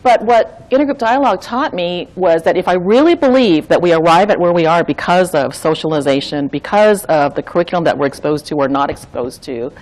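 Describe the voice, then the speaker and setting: A woman's voice: fairly low pitch, expressive, a little fast, few pauses, a little mellow, rough recording. A woman who trains dialogue facilitators, talking earnestly and reflectively, thinking aloud with restarts and frequent "right?". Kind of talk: reflective conversational talk.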